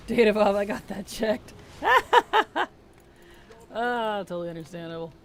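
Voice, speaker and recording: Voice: mid-range voice, sing-song, slow pace, creaky, slight background noise. A game streamer chatting casually on a live stream, reading chat aloud and muttering while playing, with a voice worn weak and strained. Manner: soft-spoken, reads chat aloud and mutters to self.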